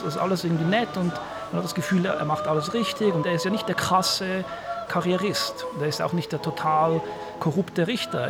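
A man with a high, creaky voice talks informally about a character. He searches for his words and repeats some, with music clearly behind him. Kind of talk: informal spoken reflection on a story's character.

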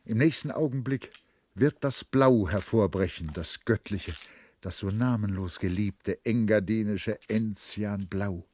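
Deep-voiced male radio play narrator. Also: measured pace, darkish tone.

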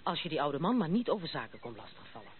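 A woman's voice: sits low, very animated. An actress playing a blunt, proud, self-assured young noblewoman in a radio play. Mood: brisk, self-assured. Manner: brisk, self-assured, outspoken. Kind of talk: Dutch radio play acting. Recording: muffled narrow-band old radio recording, noisy.

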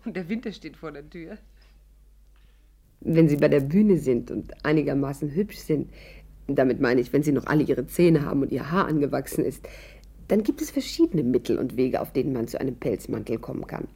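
An actress in a 1950s German radio play playing a witty, self-possessed stage actress with a pert, dryly ironic manner. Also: female voice, low-pitched, expressive, fairly quick, dark tone, husky, heavily acted. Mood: delighted.

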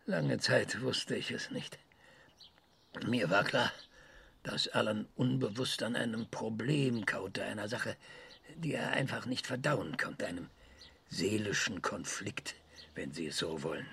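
A man playing a self-assured research scientist in a radio drama, lecturing emphatically with dramatic pauses. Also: on the low side, vocal fry, a bit bright, husky, heavily acted. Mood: intense, brooding. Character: excitable, self-assured male research scientist giving an interview.

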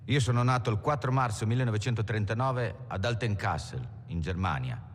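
An actor playing a German-born robber who introduces himself in a measured, explanatory film monologue, in a reverberant space. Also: male voice, lowish voice, lively intonation. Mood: matter-of-fact. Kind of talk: dramatic monologue in a docudrama film. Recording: long hall reverb, faint noise.

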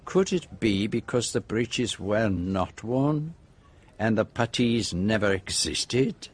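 An actor in a radio drama playing a methodical male detective who sets out a case with deliberate precision and dramatic pauses. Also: lowish voice, expressive, breathy edge, exaggerated voice. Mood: dramatic, assured. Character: methodical, theatrical male detective revealing a murder.

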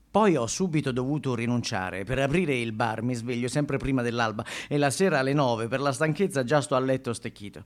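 Casting: folksy male bar owner acted by a man, mid-pitched, conversational stage storyteller, chatty, talks directly to the listener. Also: lightly acted. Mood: modest, amiable. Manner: chatty, addresses listeners, rhetorical questions and colloquial asides. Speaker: stage actor performing a monologue. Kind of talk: theatrical monologue in conversational storytelling.

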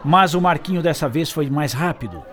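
A man narrating a radio play in a theatrical, animated storytelling manner. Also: fairly high pitch, moderate pace, a faint crowd. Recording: music behind.

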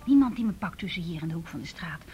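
An actress in a radio drama playing a calm but headstrong young woman, speaking in a hushed, breathy voice. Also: lowish voice, quick pace, slight background noise, natural voice. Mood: breezily defiant. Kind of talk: naturalistic radio drama acting.

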